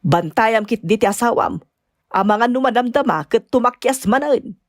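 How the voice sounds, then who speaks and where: High, swooping, scolding Ilocano grandmother. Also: lively intonation, normal speed, no echo, clean studio sound. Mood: fond, concerned. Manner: nagging, emphatic, dramatic. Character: scolding older mother and grandmother, exasperated, blunt. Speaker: voice actress. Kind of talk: Ilocano radio-style drama acting.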